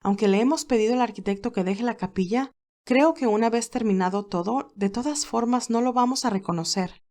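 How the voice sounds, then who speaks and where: A woman's voice: fairly high pitch, a little fast, darkish tone, natural voice. A woman voicing a modest, kind, easily flustered young nurse in an audio fan drama. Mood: modest, friendly. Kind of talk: acted audio fan fiction dialogue.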